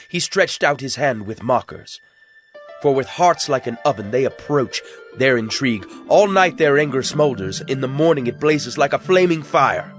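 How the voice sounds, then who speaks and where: A man playing a wronged, wrathful God pronouncing judgment, in a solemn, declamatory dramatic scripture reading with deliberate phrasing. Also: medium pitch, no echo. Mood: stern lament. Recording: music bed under the voice. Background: background music.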